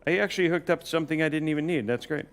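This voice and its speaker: Man, young adult, live-stream host, playful, teasing, fairly high voice. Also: rapid-fire. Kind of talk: casual live-stream banter while building a PC.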